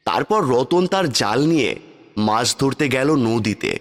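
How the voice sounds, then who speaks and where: A man's voice: medium pitch, very animated, normal speed. A man narrating a scripted cartoon folk story.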